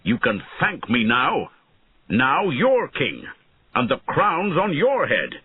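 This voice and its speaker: A man voicing a bitter, defiant, strong peasant in a storybook, shouting with a husky voice. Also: mid-range voice, wildly swooping pitch, slow pace, no echo, pitched above the performer's own voice, full character voice. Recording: narrow, phone-like lo-fi audio.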